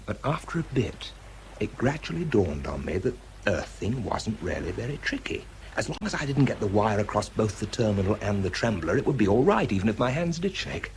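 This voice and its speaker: Exhausted bomb disposal expert, low voice, widely varying pitch, halting, strained, methodical, radio drama monologue. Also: male voice, big pitch swings, a little hiss or hum. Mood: weary, reflective. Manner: halting, hesitant, muttering as he works. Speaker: actor and narrator in a radio drama. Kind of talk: radio drama acting, first-person monologue.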